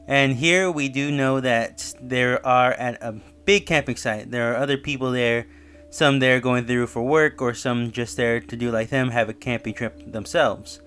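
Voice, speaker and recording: A man's voice: medium pitch, steady tone, measured pace, a bit bright, smooth, faint music behind. Rambling man recapping a horror series. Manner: loose, rambling with fillers and self-corrections. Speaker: channel host reviewing a horror series. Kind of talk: casual review and story recap.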